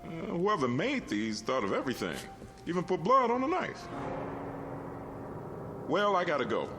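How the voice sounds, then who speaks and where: An actor playing a chatty, easily amazed meter reader with a folksy, exclamatory manner in a mid-century TV drama. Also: male voice, a little high, huge pitch swings, moderate pace. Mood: jovial, chatty. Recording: vintage TV soundtrack with music.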